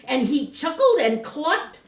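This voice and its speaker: An older woman storyteller giving an expressive, theatrical read-aloud of a rhyming children's storybook, leaning on drawn-out emphasis. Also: sits high. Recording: echoey room, recorded from a distance.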